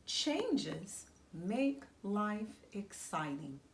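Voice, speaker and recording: Woman playing a slow-spoken, kindly lady teacher. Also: medium pitch, big pitch swings, heavily acted. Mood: encouraging. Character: kind, encouraging woman teacher comforting a young pupil. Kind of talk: children's storybook read-aloud. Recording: roomy echo, faint background noise.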